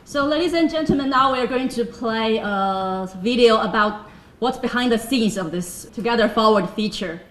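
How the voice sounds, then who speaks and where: Woman, event host, brisk announcing, fairly high voice, echoey room. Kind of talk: hosting a launch event. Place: event hall. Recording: echoey hall.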